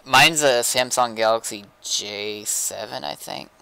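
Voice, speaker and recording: A man's voice: medium pitch, a little slow, gravelly, pushed and bright, clean tone, dry recording, poor audio. A man talking casually while troubleshooting a computer on a livestream.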